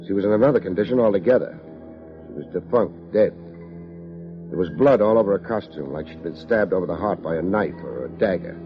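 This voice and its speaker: An actor playing a tough, wry American private investigator in a 1950s radio drama, terse, slangy and deadpan. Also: male voice, low voice. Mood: wry, grim. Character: tough, wry male private investigator narrating his case. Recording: dull old radio recording, music behind.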